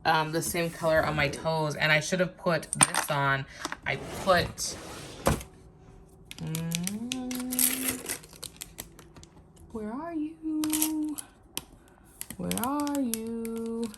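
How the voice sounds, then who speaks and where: A woman's voice: mid-range voice, extremely slow, pauses often, very noisy. A woman giving a rambling, chatty vlog tour of her nail supplies in a home guest room, with lots of filler words, while losing her voice. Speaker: nail art vlogger. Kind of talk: casual show-and-tell vlog.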